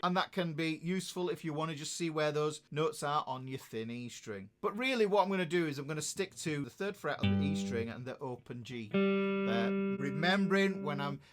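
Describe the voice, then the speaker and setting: Upbeat man teaching guitar over loud playing. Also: a little high, moderate pace. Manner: upbeat, explains step by step while playing. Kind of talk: guitar lesson demonstration. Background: guitar playing between phrases.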